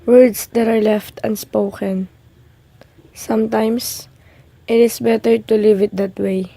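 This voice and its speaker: A woman reading a scripted reflective monologue in a solemn, measured way for a radio drama. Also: on the high side, little pitch movement, vocal fry, dark tone, no room sound.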